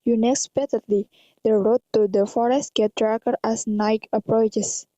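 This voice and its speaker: A woman's voice: on the high side, steady tone, a little slow, dark tone. A woman with an Indonesian accent reading scripted story narration in careful non-native English. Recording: dull, echoey lo-fi recording.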